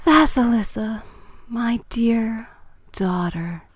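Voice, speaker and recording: Woman as a slow, fading dying mother. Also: on the high side, slightly rough. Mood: tender, fading. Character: dying young mother, tender and solemn. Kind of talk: fairy tale audio drama.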